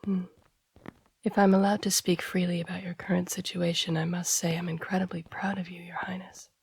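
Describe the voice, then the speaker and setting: Breathy, husky woman acting a devoted royal assassin. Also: on the low side, little pitch movement, a little fast, full character voice. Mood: guarded, tender. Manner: formal, deferential, hushed speech. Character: devoted masked royal assassin and guard, courteous, shadowy. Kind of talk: intimate audio roleplay acting.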